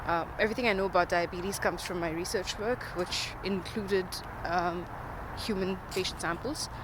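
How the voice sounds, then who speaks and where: A researcher speaking informally but earnestly in a measured, formal way, explaining things in a monologue. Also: mid-range voice, fairly even intonation. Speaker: diabetes researcher and podcaster. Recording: loud outdoor traffic or car noise.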